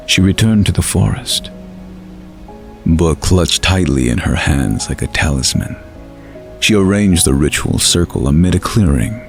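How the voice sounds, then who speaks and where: A man narrating a scripted fantasy story in a measured, dramatic storytelling manner. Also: low voice, creaky.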